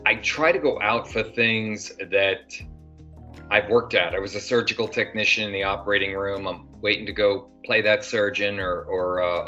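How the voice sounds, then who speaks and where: A man's voice: fairly low pitch, bright, forceful tone. An older man, an actor guest, talking in a casual interview, rambling with many fillers and restarts. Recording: dull lo-fi sound with faint music.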